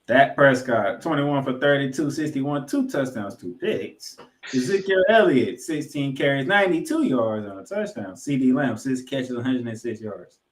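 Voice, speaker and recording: A man's voice: medium pitch, lively intonation, moderate pace. A man hosting a sports podcast, giving recap commentary in an emphatic way and repeating points for effect. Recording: roomy, echoing room sound.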